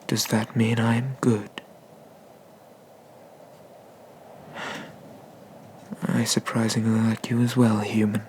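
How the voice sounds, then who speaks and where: A man playing an ancient, haughty but merciful dragon god in an ASMR roleplay, speaking formally and deliberately, with breathy whispers. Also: lowish voice, a little mellow, a little hiss or hum. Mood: musing, softening.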